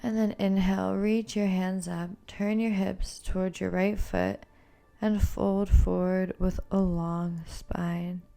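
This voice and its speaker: A woman leads a yoga class in a soft-edged, mellow voice with noticeable vocal fry. Her delivery is calm and very even in pitch, each instruction gently cued. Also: takes it slowly, faint music behind. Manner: calm, soothing, gently cueing. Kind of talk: guided yoga and meditation instruction.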